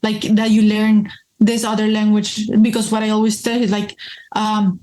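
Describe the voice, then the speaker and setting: A woman's voice: medium pitch, completely flat delivery, moderate pace, mellow, low-quality recording. A woman from Mexico with a Mexican Spanish accent, a podcast guest talking earnestly with frequent ums and restarts. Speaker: coffee business guest. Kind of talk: casual podcast chat.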